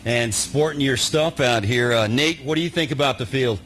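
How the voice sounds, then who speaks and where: A man's voice: mid-range voice, normal speed, fairly bright tone, slight background noise. A man hosting a post-game sports interview in a chatty, welcoming way. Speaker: interviewer and host.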